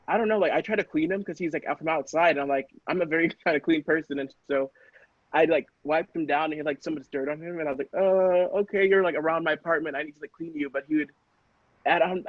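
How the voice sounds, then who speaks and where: A man's voice: fairly high pitch, dry recording. A man casually telling a personal story in a rambling, hesitant, self-questioning way. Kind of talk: casual personal anecdote chat. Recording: dull, dry, lo-fi audio.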